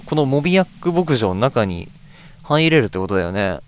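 A man's voice: medium pitch, big pitch swings, fairly quick, a little hiss or hum. A man hosting a let's play, chatting casually to viewers and reading bits of on-screen text aloud as he goes.

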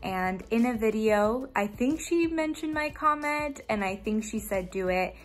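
Relaxed young woman in a living room. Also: fairly high pitch, measured pace. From USA. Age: 20s. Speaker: former graduate student. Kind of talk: casual video message.

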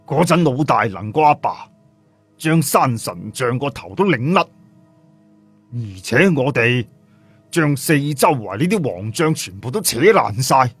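A man's voice: medium pitch, sing-song, unhurried, husky, no room sound, soft background music, full character voice. A man voicing an anxious, superstitious old village chief in a flustered, pleading manner for an audio story. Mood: defensive.

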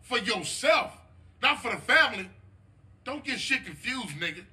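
A man's voice: extremely high pitch, lively intonation, normal speed, pushed and bright, exaggerated voice. An actor playing a stern, hot-tempered street gangster in a video game, preachy and scolding in slangy speech, fiercely loyal to his neighborhood. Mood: angry. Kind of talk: dramatic game cutscene dialogue with street slang.

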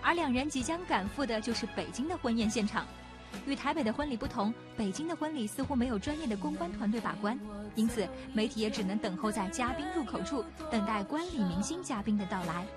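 A woman's voice: fairly high pitch, lively intonation, fast talker. A woman reporter reading scripted entertainment news narration. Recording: loud music under voice. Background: loud music.

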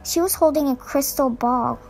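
A woman's voice: high-pitched, moderate pace, vocal fry, darkish tone, faint music behind. A woman playing a helpful garden designer giving matter-of-fact witness reports in an amateur fan film, with faint background noise.

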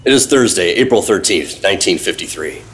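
An actor playing a secretive, world-weary 1950s government agent, terse and deadpan, narrating an old-time radio drama. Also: male voice, low-pitched, brisk, faint music behind. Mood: guarded, serious. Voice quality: husky. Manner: terse, deadpan, hard-boiled.

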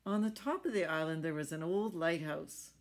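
A woman's voice: sits low, moderate pace. A middle-aged woman reading a picture book aloud to children, adding chatty explanations and little questions to her listeners. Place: small furnished room at home.

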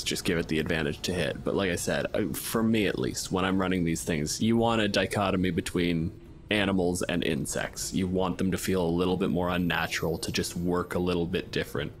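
A man's voice: low-pitched, a little fast. A man hosting a podcast, casually discussing and improvising scenes as a game master.

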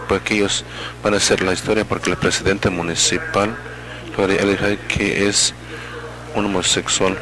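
A man with a very low voice works as a simultaneous interpreter at a public meeting. His delivery comes in halting fragments, and he often corrects himself mid-phrase. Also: rough recording.

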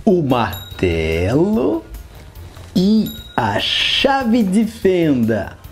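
A man's voice: high voice, drawn-out words, soft background music. Playful man hosting a kids' toy show. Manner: playful, animated, talks to toys and viewers. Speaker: toy mechanic host. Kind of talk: children's show presenting. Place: indoor set with brick wall.

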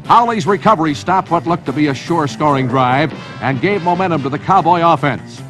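An American man reading scripted, dramatic narration for a sports highlight film. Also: brisk. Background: music.

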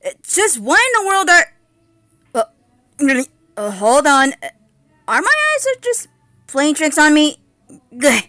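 Cartoon fairy warrior princess, played by a woman: high, swooping pitch, sassy, bold yet wary, dramatic delivery. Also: very animated, measured pace, pauses often, pushed and bright, dry recording, faint music behind, heavily acted. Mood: puzzled. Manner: dramatic, sassy, hesitant stammers. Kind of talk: amateur cartoon character voice lines.

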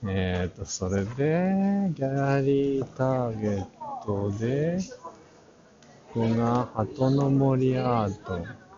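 A middle-aged man giving casual walk-through commentary for a vlog, halting and muttering asides to himself. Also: medium pitch, animated, crowd noise. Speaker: art channel vlogger giving a walk-through. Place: large crowded indoor exhibition hall. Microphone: action camera at arm's length, face mask on. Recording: muffled audio in a busy crowded hall.

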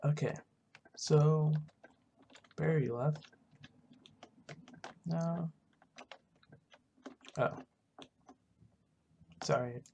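A low-key, unhurried gamer casually narrating a roleplay game session. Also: gravelly, a little mellow, muffled recording, slight background noise. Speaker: gaming video host.

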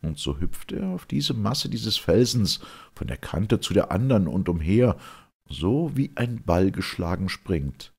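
A man's voice: low voice, sing-song, a little slow, creaky, darkish tone, breathy edge, exaggerated voice. Man reading as theatrical old crone, wildly swooping delivery. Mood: excited. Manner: theatrical, declamatory. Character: ancient sinister hag storyteller Phorkyas, animated and boastful. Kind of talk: dramatic reading of German verse drama.

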